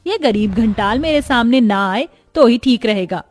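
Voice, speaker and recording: A woman's voice: on the high side, animated, normal speed, darkish tone, soft background music. A woman voicing a bitter elderly mother-in-law who scolds, taunts and complains theatrically in a storybook tale. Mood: scornful. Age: older. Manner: scornful, self-pitying, theatrical complaining.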